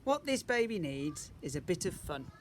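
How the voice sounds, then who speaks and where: A man reads as an old storybook grandmother. She is calm and sure of herself, handing out matter-of-fact advice over faint background noise. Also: sits high, moderate pace. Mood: reassuring.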